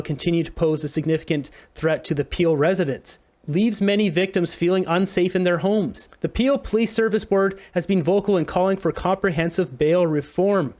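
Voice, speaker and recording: A man hosting a political commentary show, reading news aloud informally with an indignant edge. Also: high voice.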